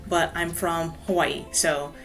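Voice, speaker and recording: A woman's voice: fairly low pitch, steady tone, slow pace, over loud music. A woman from the United States, a guest author, telling her personal story in a frank, conversational way. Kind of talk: casual interview storytelling.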